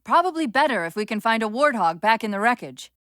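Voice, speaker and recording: A woman voicing an urgent, clever military AI companion in a video game, wry and earnest, giving crisp tactical briefings with an undercurrent of strain. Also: fairly high pitch, sing-song, quick pace, a bit bright, no room sound, studio quality. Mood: focused briefing.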